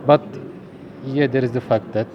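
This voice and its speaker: A man in his thirties from Turkey, speaking English with a Turkish accent as an interview guest, halting and searching for words. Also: medium pitch, steady tone, muffled recording. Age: 30s. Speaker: linguist guest. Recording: outdoor crowd chatter behind.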